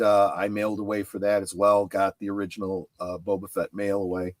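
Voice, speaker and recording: A middle-aged man chatting casually about collecting, explaining things in a halting way. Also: fairly low pitch, no room sound.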